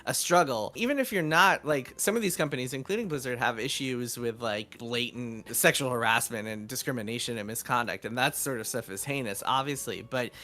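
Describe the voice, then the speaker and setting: A man's voice: mid-range voice, brisk, hardly any pauses, sharp tone. A man speaking as an interviewed journalist and author, explaining things informally in loose, colloquial sentences. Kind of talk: casual interview conversation.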